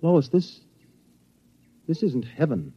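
An American actor playing a protective, tender husband in a futuristic 1950s radio drama, with an earnest, dramatic delivery. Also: male voice, on the high side, very animated, slow pace, husky, full character voice. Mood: bewildered. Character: protective, tender husband fleeing through ruined future world. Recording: muffled vintage radio recording.

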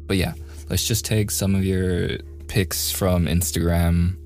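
A man playing a shy, awkward young man in a boyfriend ASMR roleplay, softly stammering and often slipping into whispers. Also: low voice, level tone, slow pace, faint music behind. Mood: joking, awkward. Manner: hesitant, stammering, self-deprecating joking.

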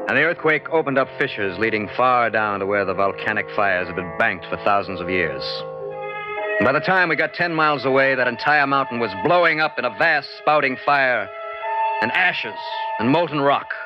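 Muffled old radio drama, man playing a tough adventurer telling his story, low voice, loud music behind. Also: natural voice. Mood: grim, dramatic. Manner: dramatic, deliberate storytelling with pauses. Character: hard-boiled adventurer recounting his strange, doomed expedition.

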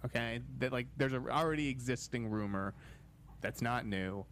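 A male guest heard in a played interview clip, at a middle pitch. He hedges coyly, circling around the point with hesitant restarts and filler. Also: moderate pace, fairly bright tone, a little hiss or hum. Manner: coy, hedging, hesitant. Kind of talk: casual interview chat.

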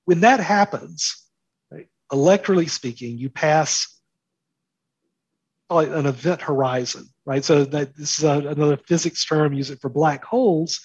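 Male interview guest, slightly high and rough-edged, emphatic explainer of big ideas, close dry lo-fi sound. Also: normal speed, no room sound. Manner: explains with analogies and rhetorical questions. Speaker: interview guest, market and politics commentator.

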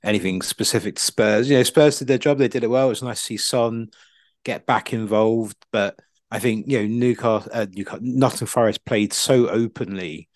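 A man's voice: fairly low pitch, a little fast, darkish tone, no room sound, clean studio sound. A man co-hosting a podcast, giving casual, discursive football punditry full of asides.

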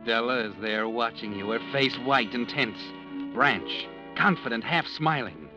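An actor playing a brooding crime-story narrator in old radio style, suspenseful and deliberate. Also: male voice, medium pitch, lively intonation, dull audio, natural voice. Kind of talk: 1940s-50s radio drama narration. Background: dramatic instrumental music.

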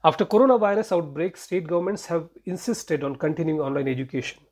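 Male podcast reporter, Indian accent, slightly rough-edged voice, scripted narration over faint music. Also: fairly high pitch, fast talker, darkish tone.

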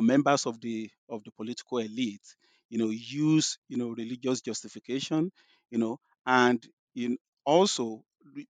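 Nigerian academic, dry close sound. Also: male voice, medium pitch, no room sound. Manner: thoughtful, many restarts and "you know" fillers. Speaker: guest scholar. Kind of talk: interview answers.

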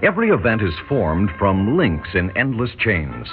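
A man's voice: lowish voice, wildly swooping pitch, moderate pace, narrow, tinny audio. A man narrating a mid-century radio drama as announcer, in a portentous, dramatic style. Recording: thin band-limited old radio recording. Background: loud dramatic music.

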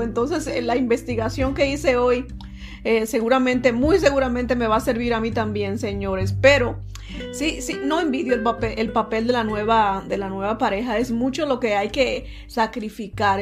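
Woman podcast host, teasing and chatty, slightly high voice. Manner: chatty and teasing, calls listeners "señores" and "mi amor". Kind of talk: casual talk to listeners.

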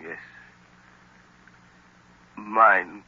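An actor playing a villainous professor in a 1930s radio drama, halting and defiant. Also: male voice, on the low side, steady tone, husky, heavily acted. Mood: dying, defiant. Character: defiant villainous male professor, a dying plotter. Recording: dull noisy 1930s radio recording.

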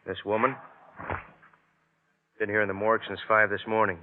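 An actor playing a brisk, plain-spoken, matter-of-fact policeman in a 1950s radio crime drama. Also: lowish voice, flat delivery, slight background noise, close to an everyday voice. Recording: tinny phone-like old radio recording.

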